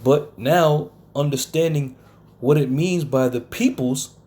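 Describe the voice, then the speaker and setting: Slow, combative male Bible teacher. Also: a little high, dark tone. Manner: combative, scolds listeners, keeps asking "okay?"; slow and deliberate, some coarse language. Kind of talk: home Bible study lecture.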